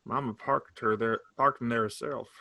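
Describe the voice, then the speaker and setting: An actor reading the part of a patient, easygoing rural son in a staged reading. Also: male voice, mid-range voice, fairly even intonation, normal speed, bright, forceful tone. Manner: easygoing, hesitant, soothing.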